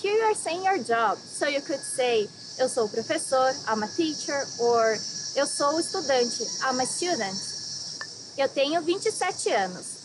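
High young woman from Brazil, phrase teacher, outdoor lesson with clear insect noise. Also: very animated, a little slow. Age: 20s. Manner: bright explanatory, models phrases and answers. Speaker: language teacher. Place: outdoors among greenery.

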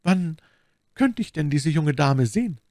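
A man's voice: on the high side, lively intonation, unhurried, darkish tone, dry recording, voice pushed up. Man as a scheming, falsely innocent noblewoman. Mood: sweet, eager. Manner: polished, formal, artfully naive. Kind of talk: audiobook character dialogue.

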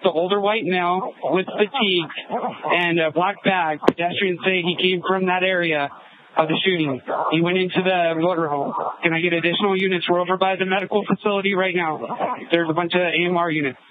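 High-voiced male officer on radio. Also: fairly even intonation, brisk. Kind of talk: police radio report. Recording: tinny police scanner radio.

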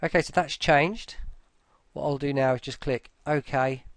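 A man talking through a casual screen-recorded software tutorial, informally, with muttered asides to himself. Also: mid-range voice, steady tone, unhurried, no echo.

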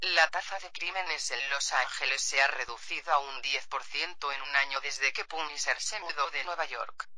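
Man playing a very bright-voiced radio commentator. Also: medium pitch, normal speed, few pauses, no room sound. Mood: measured, opinionated. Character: opinionated, formal radio show commentator.

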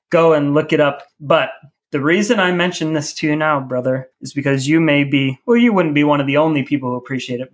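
A man hosting a podcast, talking in a casual, conversational way. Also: medium pitch. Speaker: podcast host. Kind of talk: casual podcast talk between brothers.

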